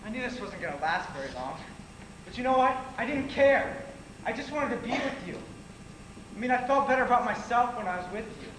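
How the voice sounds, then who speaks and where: Casting: male actor as wounded, earnest young man, high voice, stage drama; echoey, noisy theater capture. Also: expressive, brisk, a bit bright. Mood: bitter, wounded. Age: young adult. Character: hurt, earnest young man betrayed by friends. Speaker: stage actor in a one-act play. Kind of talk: amateur stage acting, projected dialogue. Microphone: distant audience camera.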